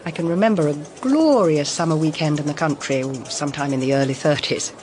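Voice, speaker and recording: A woman's voice: deep voice, a little fast, a little mellow, slight background noise, natural voice. An actress playing a middle-aged, poised and discreet woman detective with an upper-class British accent in a radio drama. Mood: wistful, reserved. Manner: composed, formal, reserved. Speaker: private detective.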